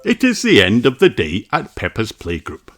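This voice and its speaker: A storybook reader narrating for young children in an expressive, deliberate way. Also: medium pitch. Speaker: audiobook reader. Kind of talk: slow read-aloud storybook narration for children. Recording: music under the voice. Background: music.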